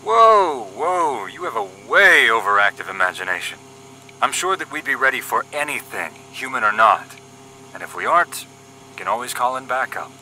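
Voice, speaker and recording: A man's voice: medium pitch, sing-song, moderate pace, bright, forceful tone, a little hiss or hum, rough recording. A man acting as a wisecracking young paramilitary agent in a scripted audio drama, cocky and flippant in his banter. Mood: reassuring.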